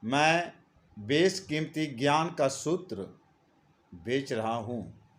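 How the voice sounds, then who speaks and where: A man voicing a calm street seller of wisdom in a storybook tale, measured and instructive. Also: mid-range voice. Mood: matter-of-fact. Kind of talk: storybook character lines read by narrator.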